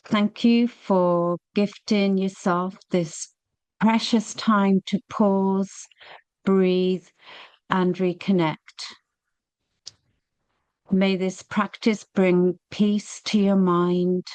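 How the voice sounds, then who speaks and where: A woman leading a guided meditation, speaking gently and soothingly with long, unhurried pauses. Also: mid-range voice, flat delivery, smooth, no room sound.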